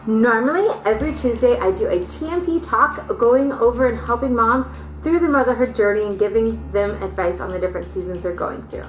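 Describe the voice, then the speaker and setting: A woman's voice: fairly high pitch, a little fast, roomy sound, low-quality recording. A woman of thirty, chatting upbeat to camera as a mom vlogger, laughing often. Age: 30s. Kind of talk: casual chat to camera.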